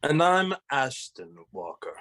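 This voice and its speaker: A man playing a prickly, intellectual detective of thirty with precise, formal speech in an animated comedy table read. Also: fairly high pitch, takes it slowly, exaggerated voice. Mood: businesslike. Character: intellectual thirty-year-old male paranormal detective, prickly.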